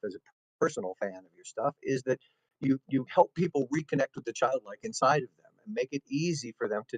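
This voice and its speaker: A man's voice: medium pitch, slightly rough, dry recording, rough recording. A man hosting an interview, asking questions in an effusive, complimentary way. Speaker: show host, interviewer.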